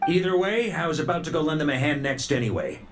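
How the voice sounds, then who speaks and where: A decisive military commander. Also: male voice, on the high side, expressive, quick pace. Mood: commanding. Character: confident male military grandmaster commander. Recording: game audio with reverb over music.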